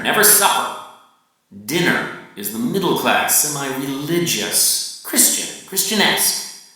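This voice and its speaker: Man acting preachy, slow-talking lecturer in echoey hall. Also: mid-range voice, pushed and bright. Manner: emphatic, lecturing, deliberate pauses. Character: pompous, preachy man coaching realtors on proper words. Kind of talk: dramatic reading of a literary monologue. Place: large echoey venue, live reading. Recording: very echoey room, far from mic.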